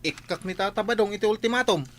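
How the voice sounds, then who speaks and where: A man with an Ilocano accent playing a nosy, excitable village fellow in a comedy radio drama, full of questions. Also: high-pitched, quick pace, heavy background noise, natural voice. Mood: nosy, excited. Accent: Ilocano, northern Philippines.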